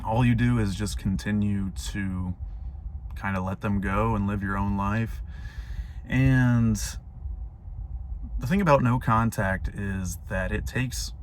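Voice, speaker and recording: A young man with a hoarse, fading voice, talking casually and reflectively to camera from his parked car with plenty of fillers. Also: lowish voice, steady tone, takes it slowly, pauses often, slight background noise. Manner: rambling, reflective, many fillers. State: hoarse, voice going out. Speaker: vlogger.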